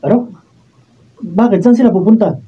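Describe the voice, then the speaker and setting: Casting: male actor as menacing greedy brother, radio drama, dark tone, noisy distant recording. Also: high voice, moderate pace, lightly acted. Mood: curious, scheming. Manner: brash, menacing. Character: bullying, greedy, hot-tempered older brother with vices. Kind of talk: Filipino radio drama acting. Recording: distant, dull, noisy raw studio video.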